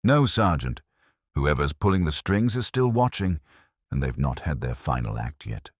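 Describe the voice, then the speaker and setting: Man reading as a police inspector, very low, deliberate, dry wit, close dry sound, detective story. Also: very animated, no echo, full character voice. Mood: grave, thoughtful. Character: measured, wry male police inspector.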